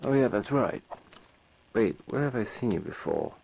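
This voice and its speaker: A man playing a battered, vengeful wanderer in a halting, casual way for an amateur animated film. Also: on the low side, vocal fry, slightly rough, no echo, full character voice, poor audio. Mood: puzzled, somber.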